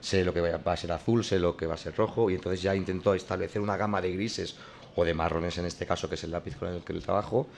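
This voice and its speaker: A comic artist talks through how he works, his voice very low and gravelly. He stops and restarts often. A faint hiss sits behind him. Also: fairly even intonation, fast talker. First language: not French. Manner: hesitant, many restarts and fillers. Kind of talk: explaining his drawing process.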